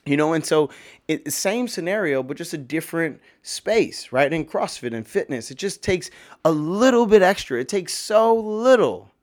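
Slightly hoarse man ranting casually. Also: a little high, very animated, moderate pace. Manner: emphatic, rambling, swears, many fillers. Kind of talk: casual opinion monologue.

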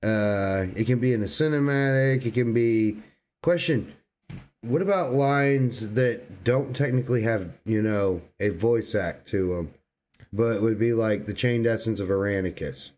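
A man's voice: on the low side, measured pace, a little mellow. A man bantering casually about video games as a podcast co-host.

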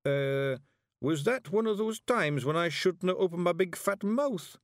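A man's voice: high voice, expressive, measured pace, no room sound, studio quality, pitched above the performer's own voice. A man voicing a cheerful, dim-witted little Scottish fairy warrior in a storybook, blurting things out artlessly. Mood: blurting, sheepish.

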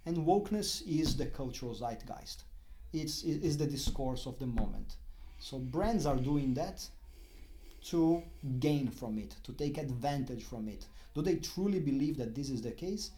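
A middle-aged man from Brazil with a Brazilian accent, a guest in a casual interview, speaking haltingly with many restarts and fillers. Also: medium pitch, animated, dark tone.

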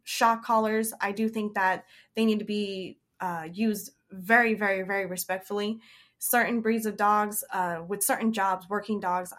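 A woman's voice: medium pitch, fairly bright tone. Confident woman explaining dog training. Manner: confident, explanatory, laughs while talking. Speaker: podcast co-host and dog trainer. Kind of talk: casual advice chat.

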